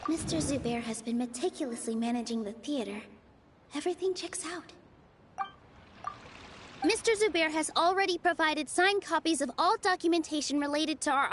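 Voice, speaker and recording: Earnest young woman, high voice. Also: background noise. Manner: earnest and persuasive, rehearsing arguments. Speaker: game character, dancer. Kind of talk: voiced game dialogue.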